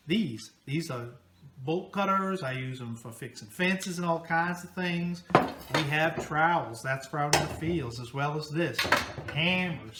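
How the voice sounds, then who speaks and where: A man's voice: fairly high pitch, reverberant, noisy background. A man, a farmer, casually explaining things to children in simple, practical terms.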